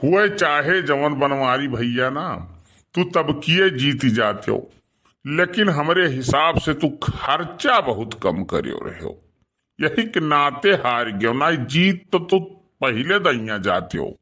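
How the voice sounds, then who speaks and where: A man's voice: fairly high pitch, sing-song, slow, sharp tone, heavily acted. A man playing a teasing, sly village sidekick in a cartoon comedy, with a rustic eastern Uttar Pradesh accent. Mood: needling. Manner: needling, drawling, rustic. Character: teasing, sly village sidekick who eggs his friend on. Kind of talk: Awadhi cartoon comedy dialogue.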